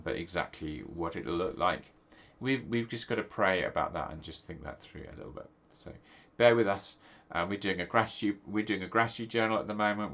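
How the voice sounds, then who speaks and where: A man's voice: deep voice, a bit bright. A middle-aged man from England, a pastor, talking casually to camera with a stuffy, nasal voice and many ums and restarts. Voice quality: nasal, congested. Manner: rambling, many fillers and restarts. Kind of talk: casual video talk.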